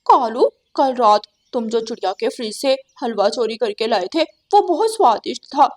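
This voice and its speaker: A woman's voice: fairly high pitch, animated, normal speed, voice pushed up. A woman voicing a sly, greedy female crow in a cartoon, with a wheedling, nosy manner. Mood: greedy, scheming.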